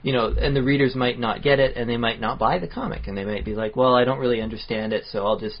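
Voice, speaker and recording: A man's voice: mid-range voice, steady tone, quick pace. A man co-hosting a podcast, rambling through his points in a casual chat. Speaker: podcast co-host. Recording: dull lo-fi podcast audio.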